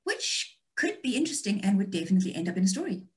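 A woman speaks as an author being interviewed. Her chat is casual, wry and playful, at a medium pitch, over a video call. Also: very animated, racing, a little mellow. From South Africa and Austria.

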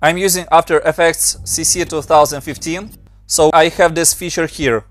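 Ukrainian-accented male tutor, a bit higher voice, very dry close mic, patient step-by-step walkthrough. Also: steady tone, normal speed, dry recording, faint music behind. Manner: plain, step-by-step instructions with slightly non-native grammar. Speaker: motion designer and tutorial maker. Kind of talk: screen-recorded software tutorial.

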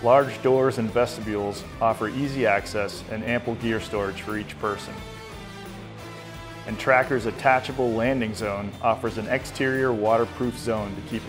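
A man reading a scripted promotional voice-over in a smooth, even, salesy manner. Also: on the low side. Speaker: ad narrator. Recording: voice-over over music.

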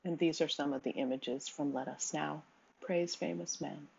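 A woman giving a recorded slide lecture in a measured, steady way, with the odd um. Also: sits low, gravelly, lo-fi sound, slight background noise. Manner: measured, reads quotes aloud, occasional ums.

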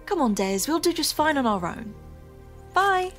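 A woman's voice: high voice, big pitch swings, moderate pace, a bit bright, faint music behind. An actress playing a wounded, resolute, self-reliant young woman in an audio drama.

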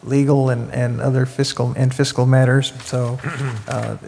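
A man's voice: medium pitch, unhurried, creaky, a little hiss or hum. An older man giving a formal report to a board as general manager, reading from notes at a desk mic in a council chamber. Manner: reads formally from notes, flat delivery. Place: council chamber, seated at the dais. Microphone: desk gooseneck mic. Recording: echoey council chamber audio.

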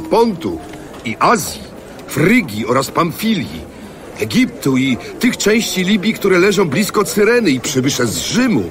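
A man's voice: fairly high pitch, background noise. An actor playing an astonished townsman in an audio Bible drama, declaiming in amazement. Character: astonished man in a Jerusalem crowd. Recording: studio voice over busy crowd sounds. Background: crowd ambience.

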